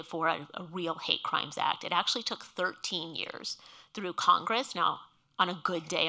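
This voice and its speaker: A woman leads an onstage conversation at a speaker series, laying out the background in a measured, earnest voice. Her tone sits fairly low yet rings bright and forceful. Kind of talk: onstage interview. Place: museum stage before an audience.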